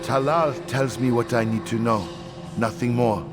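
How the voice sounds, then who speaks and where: An actor playing a guarded, fearful informant in a video game, giving clipped answers. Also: male voice, mid-range voice, steady tone, normal speed, natural voice. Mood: wary. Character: guarded, fearful male informant under interrogation. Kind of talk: video game cutscene acting. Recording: loud music over the voice. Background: loud game music.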